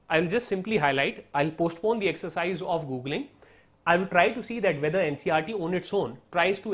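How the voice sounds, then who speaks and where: A man with an Indian English accent and Hindi as his first language, explaining an exam study strategy step by step in an online lesson. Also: on the high side. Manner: explanatory, repeats "right?" and "so therefore", mixes in Hindi. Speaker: teacher.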